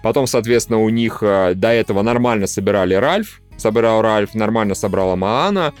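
A man's voice: fairly low pitch, expressive, brisk, smooth, faint music behind. A man hosting a podcast, chatting casually about animation in informal, blunt slang. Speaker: podcast host.

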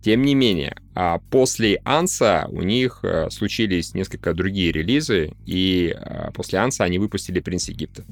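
A man's voice: low-pitched, lively intonation, normal speed, creaky, hardly any pauses. A man co-hosting a podcast, discussing films informally and swearing freely. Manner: informal, profane. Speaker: podcast co-host. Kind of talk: casual film discussion. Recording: music bed behind the voice.

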